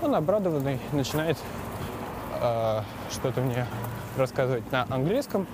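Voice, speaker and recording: Rambling man talking outdoors over loud traffic. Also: mid-range voice, big pitch swings, normal speed. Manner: rambling, many hesitations. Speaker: podcast host. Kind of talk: casual monologue while cycling outdoors. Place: city street outdoors. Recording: loud street traffic noise. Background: traffic and city sounds.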